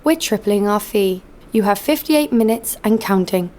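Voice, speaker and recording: A woman's voice: mid-range voice, lively intonation, moderate pace, darkish tone, lightly acted. An actress playing a cynical, hard-nosed female bounty hunter in an audio drama, with a blunt, sardonic delivery. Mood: hostile, blunt. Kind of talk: first-person audio drama narration and dialogue.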